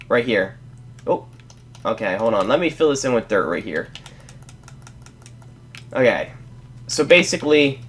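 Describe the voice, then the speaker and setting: A young man with a congested, stuffy voice narrating a casual building tutorial as a game host, rambling and correcting himself as he goes. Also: mid-range voice. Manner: rambling, self-correcting, apologetic. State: congested from a cold. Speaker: Let's Play host.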